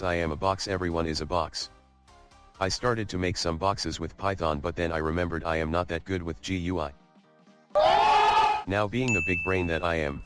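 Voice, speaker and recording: A man's voice: sits low, fairly bright tone. A man narrating a scripted comedic coding video in a jokey, mock-boastful way.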